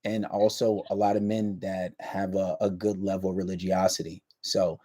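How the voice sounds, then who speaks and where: A man's voice: low-pitched, flat delivery, normal speed, dark tone. A man in his thirties hosting a casual livestream chat, talking in a laid-back, drawling way that trails off and restarts. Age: 30s. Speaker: livestream host.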